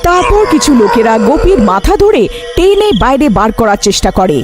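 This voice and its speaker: A woman's voice: sits high, sing-song, brisk, loud music behind the voice. A woman narrating a scripted story in a dramatic storytelling style.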